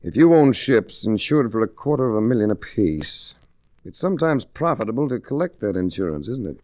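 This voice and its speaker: A man's voice: lowish voice, very animated, fairly quick, a little mellow, dry recording. An actor playing a wry, hard-boiled adventurer-investigator who narrates his own case in a 1940s radio drama. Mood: probing. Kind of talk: 1940s radio detective acting. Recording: restored 1940s radio recording.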